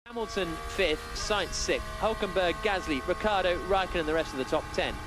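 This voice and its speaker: A man's voice: sits high, fairly quick, over music. A man giving live commentary on a motor racing session as the lead commentator.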